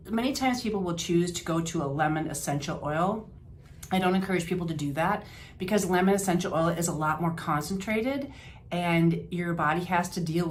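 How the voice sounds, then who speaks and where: A woman's voice: lowish voice, a little hiss or hum. An older woman, a health practitioner giving casual wellness advice in a homey, chatty way with fillers and restarts. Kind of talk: informal health advice talk to camera.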